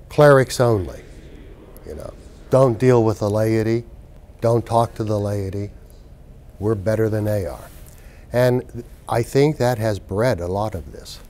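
An older man, a bishop, speaking in a measured, reflective way in an interview indoors. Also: lowish voice, darkish tone. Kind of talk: documentary interview. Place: indoor office with plants.

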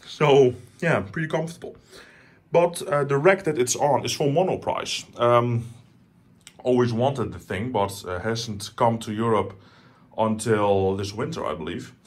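A man's voice: mid-range voice, unhurried. A man from the Netherlands with a Dutch accent, casually showing his home stereo system, talking with enthusiasm and many fillers and restarts. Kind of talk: casual home tour vlog. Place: living room at home.

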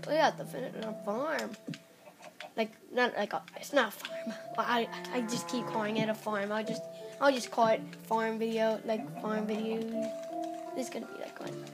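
A young female player rambling through casual Minecraft commentary, squealing at small wins, recorded on a phone aimed at a TV. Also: fairly high pitch. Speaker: Minecraft player filming her screen. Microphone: phone camera. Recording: phone camera aimed at a TV, music in background.

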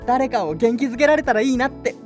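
A woman's voice: a little high, lively intonation, fast, close to an everyday voice. A woman playing an earnest, polite teenage boy in a Japanese audio drama. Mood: sincere. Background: background music.

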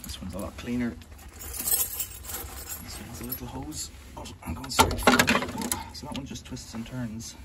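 A man from the UK rambles casually as he films on a handheld phone out in a back alley. His voice sits at an ordinary middle pitch. Loud outdoor noise and passing traffic run under everything he says. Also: a little slow. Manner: rambling, chatty, matter-of-fact. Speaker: vlogger. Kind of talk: casual walk-and-talk commentary. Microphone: handheld phone camera.

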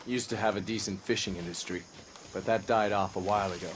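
A man's voice: fairly low pitch, steady tone, quick pace, close to an everyday voice. A man playing a cocky, foul-mouthed American intelligence operative in a video game, with a wry, casual delivery. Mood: matter-of-fact. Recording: loud music and ambient noise behind.

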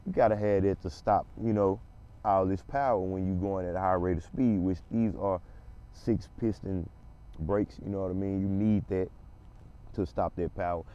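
A man with a hoarse voice from a cold, casually reviewing a car outdoors in a parking lot, talking straight to his viewers. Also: sits low, steady tone, moderate pace, mellow, muffled recording. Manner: laid-back, talks straight to viewers. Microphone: handheld phone camera.

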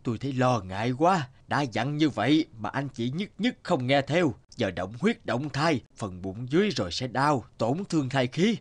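A man voicing an elderly village healer in a cartoon, a stern, wise teacher who speaks in a measured, admonishing, instructive way. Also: medium pitch, sing-song. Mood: stern, concerned. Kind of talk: Vietnamese cartoon voice acting.

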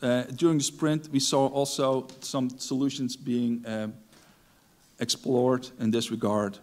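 A middle-aged man, a native Dutch speaker, presents a technical talk from a conference stage. His delivery is halting and thoughtful, full of ums and restarted phrases, at an ordinary mid pitch, through a clip-on mic. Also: flat delivery, a little mellow. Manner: hesitant, many ums and restarts. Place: large dark conference stage.

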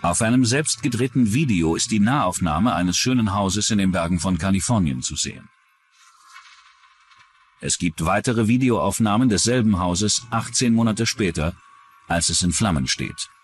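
A man's voice: lowish voice, expressive, normal speed, a little hiss or hum. A man reading scripted true-crime documentary narration as the narrator.

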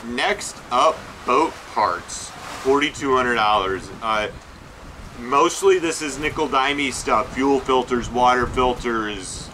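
A man's voice: medium pitch, pushed and bright, a little hiss or hum, poor audio. Matter-of-fact man listing boat expenses. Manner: matter-of-fact, listing figures with many uh fillers. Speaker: sailing vlog co-host. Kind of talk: casual expense breakdown. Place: aboard a sailboat.

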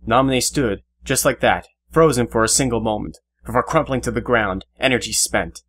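A man's voice: mid-range voice, normal speed, a bit bright, slight background noise. A man narrating a scripted fantasy story as an audio drama narrator. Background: guitar music.

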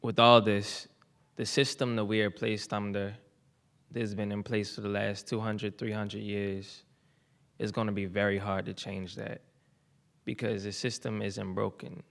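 A young man, a student performer, giving a halting casual introduction on a lecture hall stage into a stand mic. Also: lowish voice, level tone, vocal fry, fairly bright tone. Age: teens. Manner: halting, with restarts and fillers. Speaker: student spoken word performer.

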